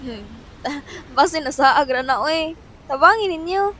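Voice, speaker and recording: A woman's voice: high voice, expressive, a little slow, pushed and bright, a little hiss or hum, heavily acted. A young woman with a Filipino accent playing a trusting girlfriend who is anxious about her boyfriend, in a radio drama. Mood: casual, dismissive.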